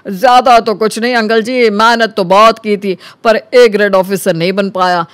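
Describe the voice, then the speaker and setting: A man voicing a cocky, smooth-talking young man who flatters elders in an animated story. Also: sits high, normal speed, no room sound. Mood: flattering. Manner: glib, boastful, smooth-talking. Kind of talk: animated Hindi story character acting.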